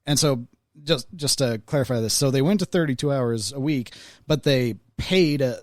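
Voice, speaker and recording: American male podcast co-host, average pitch, conversational explainer, dry recording. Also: normal speed, no echo. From United States. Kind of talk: casual explanation in group chat.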